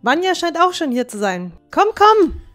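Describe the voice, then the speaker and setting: A young woman playing a brisk, bossy, teasing young female dwarf adventurer in an audio drama. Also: extremely high pitch, sing-song, fairly bright tone, faint music behind, full character voice. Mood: impatient, urging.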